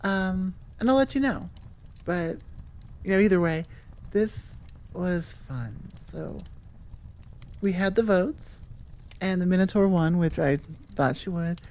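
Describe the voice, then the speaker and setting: A woman audio creator talks to her listeners off the cuff. Her voice is on the low side and her pace is very unhurried, rambling informally with frequent self-interruptions. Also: expressive, a little mellow. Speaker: audio roleplay creator and host. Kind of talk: casual, improvised chat to listeners.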